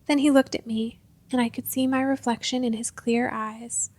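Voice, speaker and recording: High-voiced woman narrating a literary story. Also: level tone, moderate pace, a little mellow.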